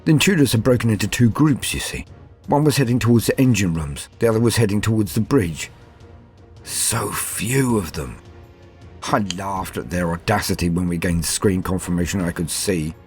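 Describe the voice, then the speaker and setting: A man voicing a shaken starship captain on a first command, giving a formal, confessional account in a horror audio tale, halting and then hurried. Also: on the low side, lively intonation, darkish tone, natural voice. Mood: grim recounting. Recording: music under the voice.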